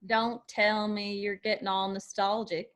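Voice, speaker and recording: A woman's voice: medium pitch, level tone, measured pace, fairly bright tone, natural voice. Woman playing a frank, outspoken young country woman. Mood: frank, pressing. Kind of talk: screenplay table read.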